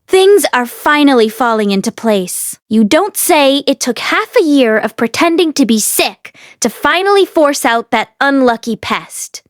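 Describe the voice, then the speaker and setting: Woman voicing a callous, sneering young man, lively pitch. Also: measured pace, no room sound, clean studio sound. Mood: smug contempt. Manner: scornful, dismissive, whispery gossip. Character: callous, sneering young brothers, gossiping spoiled men.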